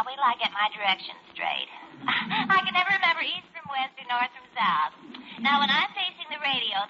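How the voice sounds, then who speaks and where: A woman's voice: high voice, pushed and bright, heavily acted. An actress playing a scatterbrained, chatty neighborhood lady in a 1940s radio sitcom, flustered and forever correcting herself. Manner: flustered, dithering, self-correcting. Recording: muffled, noisy 1940s radio recording.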